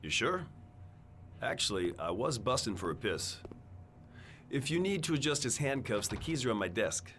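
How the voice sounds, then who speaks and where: A man's voice: mid-range voice, sing-song, normal speed, natural voice. Guy playing a blunt casual cop in a video game, bouncy intonation, noisy sound. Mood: offhand. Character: casual, crude male police officer on guard duty. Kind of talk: video game cinematic acting.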